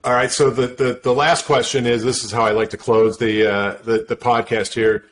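Male podcast host, casual and agreeable, mid pitch. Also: level tone, normal speed, fairly bright tone, slight background noise. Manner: casual, agreeable, short affirmations. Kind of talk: podcast interview.

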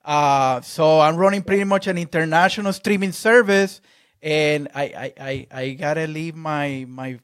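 Halting man, higher voice. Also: clean tone. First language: Spanish. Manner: halting, repeats words. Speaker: podcast host. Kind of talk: casual interview chat.

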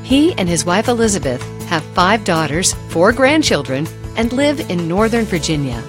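A woman's voice: fairly low pitch, big pitch swings, moderate pace. An American woman reading a scripted broadcast profile as a narrator. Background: music.

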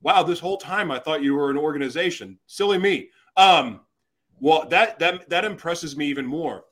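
A man's voice: a little high, normal speed, fairly bright tone. Enthusiastic male interview host. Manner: enthusiastic, effusive. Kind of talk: casual interview chat.

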